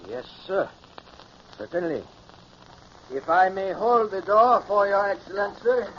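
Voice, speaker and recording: Anxious, high-voiced submarine lieutenant. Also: male voice, lively intonation, a little slow, fairly bright tone. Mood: dutiful. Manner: deferential, hesitant. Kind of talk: 1950s radio drama acting. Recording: noisy, muffled vintage radio recording.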